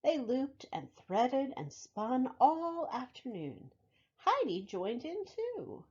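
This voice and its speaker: A woman reading a picture book aloud for young children, with expressive, deliberate phrasing, as a library storytime reader. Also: sits high, breathy edge, lo-fi sound.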